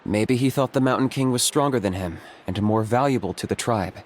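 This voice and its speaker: A man voicing a stoic young warrior in a video game, calm and deadpan, always blunt and practical. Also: medium pitch, a little fast, slight background noise, lightly acted.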